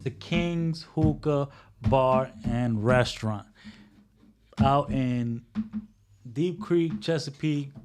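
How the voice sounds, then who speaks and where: A man's voice: medium pitch, drawn-out words, long pauses, background noise. A man from the Dominican Republic, co-hosting a casual podcast in an easygoing, emphatic, joking way. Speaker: podcast co-host. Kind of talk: casual chat.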